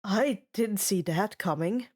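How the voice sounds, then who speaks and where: Woman voicing a weary deadpan god, slow drawl. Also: mid-range voice. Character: jaded, sardonic male god running a camp.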